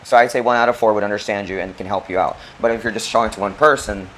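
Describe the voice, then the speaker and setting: A man's voice: fairly low pitch, fairly even intonation, fast, fairly bright tone, slight background noise, low-quality recording. A man from the Philippines, co-hosting a casual chat and explaining his points step by step. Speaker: co-host.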